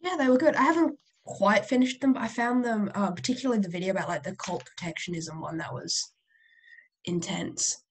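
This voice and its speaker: Husky-voiced young woman student. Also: mid-range voice, fairly quick. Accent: non-Australian English. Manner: thoughtful, fillers like "like" and "you know". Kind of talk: casual class discussion.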